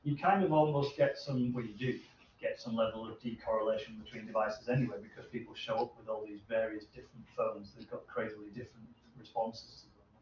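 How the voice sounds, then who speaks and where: A man in his thirties answering questions at an informal technical seminar, haltingly thinking aloud. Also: fairly low pitch, steady tone, a little mellow. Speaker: researcher presenting at a seminar. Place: medium seminar room with hard walls. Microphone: distant camera microphone. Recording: roomy, distant, muffled, noisy room recording.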